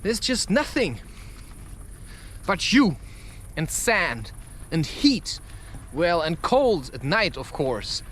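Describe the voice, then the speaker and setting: A man giving a rambling, confessional monologue in a halting, emphatic way, recorded outdoors while walking. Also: on the high side, big pitch swings, fairly bright tone, slight background noise. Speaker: vlogger recording a spoken-word podcast.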